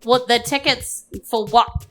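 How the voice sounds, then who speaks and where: A woman's voice: a little high, fairly even intonation, a little slow, natural voice. A woman with a New Zealand accent voicing a small, bored, quibbling gnome ticket seller in a live tabletop game. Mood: nonchalant. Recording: music under a live stage recording.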